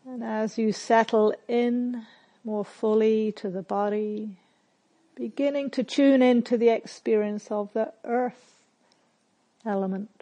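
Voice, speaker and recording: A woman leading a guided meditation as a teacher, speaking gently and with measured, spare phrases separated by long silences. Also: a little high, steady tone, dull audio. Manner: gentle, measured, softly instructive.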